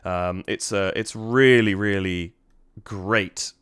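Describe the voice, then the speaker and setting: A man with a low, smooth voice, slightly stuffy from a cold, talking through music he admires in a casual, thinking-aloud way. He is recorded very dry and close, with no room sound. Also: steady tone, slow pace, a bit bright, no room sound. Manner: admiring, thinks aloud, hums melody lines. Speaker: reaction video host. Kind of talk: casual music analysis commentary.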